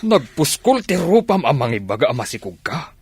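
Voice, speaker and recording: A man playing a theatrical, quick-tempered sugar daddy in a radio drama. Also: on the high side, sing-song. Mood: angry. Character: male sugar daddy, doting but quick to rage.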